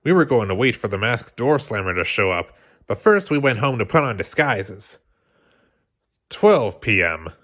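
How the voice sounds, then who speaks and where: A man reading a children's storybook aloud as scripted, expressive narration. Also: lowish voice, normal speed. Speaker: storybook reader.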